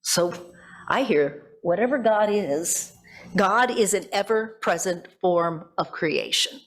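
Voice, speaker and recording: A middle-aged or older woman, a pastor, preaching reflectively in measured phrases with deliberate pauses. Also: mid-range voice, animated. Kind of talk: sermon with poetry quotations.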